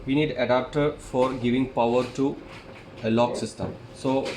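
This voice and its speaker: A man who is a non-native English speaker gives a hands-on technical demonstration, explaining step by step in a halting, repetitive way. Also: medium pitch, steady tone, darkish tone. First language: not English. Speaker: tutorial presenter, installer. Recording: echoey room, clearly noisy.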